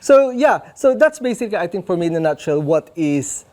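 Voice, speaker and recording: A man's voice: high-pitched, brisk. A man with a Visayan Filipino accent, a fashion designer chatting as a guest in a TV studio interview, full of fillers. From Philippines. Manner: chatty and animated, many fillers like "sort of" and "you know".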